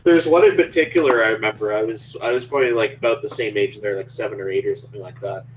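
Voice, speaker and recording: A man's voice: on the low side, quick pace, low-quality recording. A man casually telling stories among friends, restarting and repeating words as he goes.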